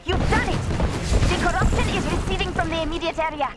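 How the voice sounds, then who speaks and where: An actress playing a clever, defiant young princess with an African accent in a dramatic video game. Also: female voice, very high voice, fast talker, loud music behind the voice. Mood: urgent. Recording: loud game score behind.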